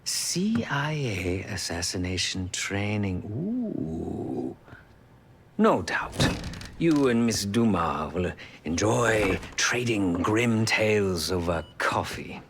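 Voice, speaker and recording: An actor playing a fussy, theatrical male tech specialist in a spy video game, with formal, florid, deliberate phrasing. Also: fairly low pitch, sing-song, background noise, exaggerated voice. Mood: amused, brisk. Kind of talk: video game character acting.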